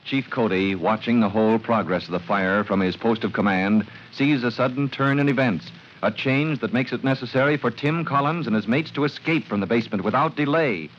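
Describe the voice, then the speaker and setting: Male radio narrator, medium pitch, dramatic and formal, vintage 1940s serial; dull, faintly hissy recording. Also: fairly quick. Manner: dramatic, formal announcer delivery. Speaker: announcer and narrator.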